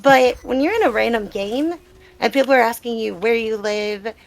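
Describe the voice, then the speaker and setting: Creaky-voiced woman gamer in a live Q&A. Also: on the high side, normal speed. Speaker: gamer being interviewed. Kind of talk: live Q&A, casual personal talk.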